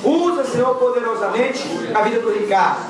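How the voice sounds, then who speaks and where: A man's voice: very high voice, animated, a little fast, a crowd in the background. A man with a Brazilian accent leading a fervent church prayer, piling up repeated lines of praise. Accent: Brazilian Portuguese. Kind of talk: congregational prayer. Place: church hall. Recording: echoey church from far off.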